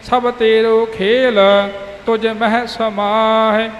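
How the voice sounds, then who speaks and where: A man reciting Sikh scripture as a student, in measured, half-sung phrases. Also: high-pitched, level tone, muffled recording, a little hiss or hum. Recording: long hall reverb, music.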